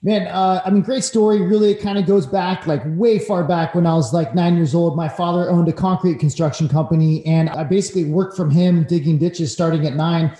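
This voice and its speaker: A man's voice: a little high, steady tone, a little fast, few pauses, warm tone. A man in his forties from northern California, telling his story casually as a podcast guest. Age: 40s. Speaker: podcast guest, real estate broker. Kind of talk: casual chat, personal storytelling. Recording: roomy echo.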